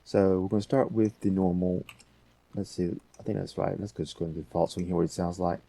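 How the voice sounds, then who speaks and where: A man hosting a casual software tutorial, thinking aloud in an unscripted way. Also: sits low, little pitch movement, fast, vocal fry, dry recording. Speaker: tutorial host.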